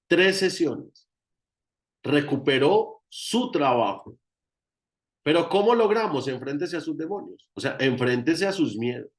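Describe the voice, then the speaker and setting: A man's voice: on the high side, lively intonation, a little slow. A man with a Colombian accent giving an informal, emphatic teaching talk as a guest therapist, often repeating phrases for emphasis.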